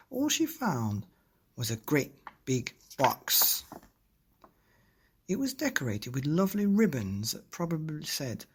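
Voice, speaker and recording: A man's voice: medium pitch, breathy edge. A man hosting and reading a children's bedtime story, speaking expressively and directly to young listeners. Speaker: podcast host and storybook reader.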